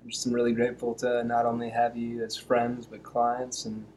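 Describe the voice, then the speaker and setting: A man's voice: mid-range voice, monotone, moderate pace, a little mellow, poor audio. A man who works as a financial advisor, a guest on a podcast in casual chat, earnest and appreciative.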